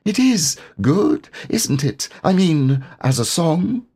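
A man voicing a small, timid, earnest piglet in a storybook, with a little high-pitched voice. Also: very animated, slow, a little mellow, breathy edge, full character voice. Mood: carelessly pleased.

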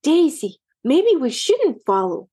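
A woman voicing a timid young girl kitten who pleads in a high, whiny voice. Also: very animated, takes it slowly, mellow, exaggerated voice. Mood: pleading.

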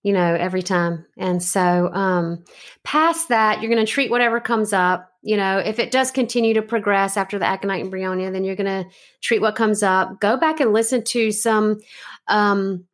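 A woman hosting a podcast, giving relaxed, instructive advice on home remedies with frequent fillers. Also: medium pitch, normal speed. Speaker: homeopathy teacher and podcast host. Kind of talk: casual podcast chat.

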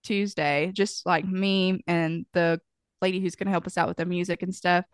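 A woman's voice: fairly low pitch, little pitch movement, normal speed, clear, resonant tone, no room sound, clean studio sound. A young woman co-hosting a podcast in casual chat, a bit nasal from a head cold, rambling and giggly. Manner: rambling, giggly, lots of likes and ums. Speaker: podcast co-host.

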